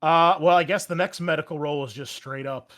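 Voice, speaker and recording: A man's voice: fairly high pitch, fairly even intonation, fairly quick, a bit bright. A man speaking as a game master, casually explaining rules and narrating the action with some swearing. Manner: casual, profane.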